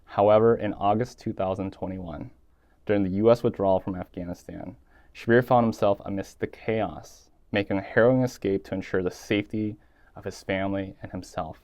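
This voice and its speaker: A young man hosts a podcast from a small studio room, with a formal and earnest delivery. His voice sits low. The sound is dry and close, with no room echo. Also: fairly even intonation, a little fast, a little mellow. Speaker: podcast host. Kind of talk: scripted intro then interview questions. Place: small dim studio room, seated in armchair.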